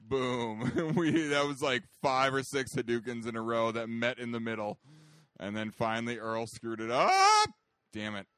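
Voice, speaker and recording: Deep-voiced man, teasing and laughing. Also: lively intonation, measured pace, pushed and bright, no room sound. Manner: laughs easily, teasing banter. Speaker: co-host. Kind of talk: casual gameplay commentary. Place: indoors, playing video games.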